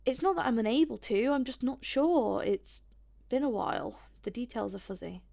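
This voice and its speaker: Hesitant, higher-voiced woman acting an awkward visitor. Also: lively intonation, moderate pace, vocal fry, darkish tone. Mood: sceptical. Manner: hesitant, rambling, slightly awkward. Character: hesitant, polite but sceptical young woman visitor named Lonnie. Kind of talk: audio drama acting, naturalistic.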